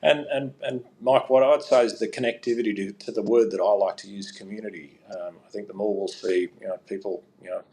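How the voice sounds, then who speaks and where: A man's voice: on the low side, steady tone, fairly quick, few pauses, mellow, a little hiss or hum, low-quality recording. A man with an Australian accent, from New South Wales, speaking as a guest in a radio interview, earnest and full of fillers and restarts. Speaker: guest, regional banking alliance spokesman.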